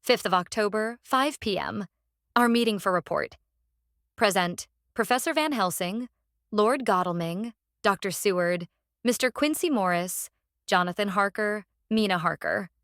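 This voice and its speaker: A woman's voice: medium pitch, lively intonation, measured pace, fairly bright tone, no room sound, studio quality. A woman playing a composed, methodical young wife reading out her journal in a formal, orderly way for an audiobook. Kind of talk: dramatised audiobook narration.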